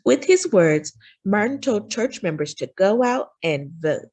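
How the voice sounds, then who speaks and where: A woman reading a children's picture book aloud as a story time host, with expressive, deliberate phrasing for young listeners. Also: medium pitch.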